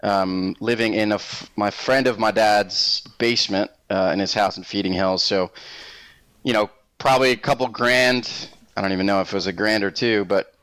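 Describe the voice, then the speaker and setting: A man from Puerto Rico, a native Spanish speaker, telling his personal story as an interview guest in a reflective, measured, conversational way. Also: fairly low pitch, a bit bright, clean tone, dry recording. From Puerto Rico, later Massachusetts.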